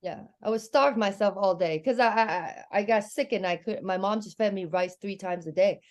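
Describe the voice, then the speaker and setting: A woman's voice: mid-range voice, normal speed. A middle-aged woman from Hong Kong, speaking English as a second language with a Hong Kong Cantonese accent, telling candid stories as a guest on a comedy podcast. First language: Chinese. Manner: candid, animated storytelling. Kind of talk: casual podcast chat.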